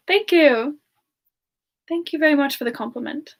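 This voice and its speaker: A woman doing a semi-ASMR live question-and-answer chat in character, musing aloud in a halting, giggly manner. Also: sits high. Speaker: livestream host in character, answering viewer questions.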